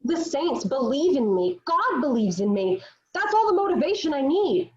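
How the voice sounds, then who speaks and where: A woman performs a young, devout peasant girl who is defiant and sure of herself. Her voice is fairly high, with earnest, formal period phrasing. The recording is somewhat dull, as from an online call. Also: very animated, normal speed, darkish tone. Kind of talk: dramatic table read of a period stage play. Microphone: video call.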